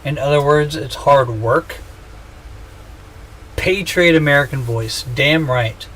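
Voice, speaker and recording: A man in his thirties rambles and jokes at an unhurried, drawn-out pace while playing a game on stream. His voice sits a bit high for a man, and he pokes fun at himself. A faint hiss of room noise runs underneath. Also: a bit bright, poor audio. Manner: joking, rambling, self-mocking. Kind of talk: casual gaming livestream chat.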